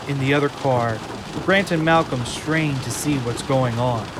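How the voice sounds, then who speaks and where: A man narrating an audio drama from a script in a deliberate, suspenseful way. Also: mid-range voice, noisy background. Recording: loud rain and storm effects behind.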